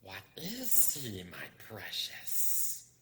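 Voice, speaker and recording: A sly, slimy little cave creature from a storybook. He hisses and whispers hungrily to himself, drawing words out very slowly. The throaty voice rings in long, echoing reverb. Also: fairly low pitch, huge pitch swings, sharp tone, exaggerated voice. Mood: sly, hungry. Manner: slow, muttering to himself. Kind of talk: storybook character voice.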